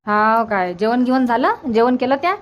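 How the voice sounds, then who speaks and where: A practical cartoon village mother, acted by a woman with a somewhat high voice. She chats in a colloquial Varhadi Marathi accent, gossiping and advising, and often says things over again. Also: normal speed, lo-fi sound, close to an everyday voice. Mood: reassuring. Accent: Varhadi Marathi from Vidarbha. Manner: gossipy, advising, repeats herself. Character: practical, reassuring village mother of a married daughter.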